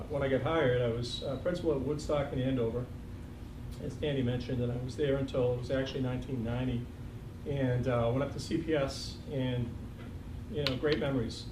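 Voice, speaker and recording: An older man with a slight Boston accent speaks as a school superintendent in a reflective, easygoing way, dropping light jokes and plenty of ums. He is picked up from a distance in a reverberant meeting room. A steady background noise runs under his voice. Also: mid-range voice. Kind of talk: farewell speech at a board meeting. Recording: echoey, distant, noisy room recording.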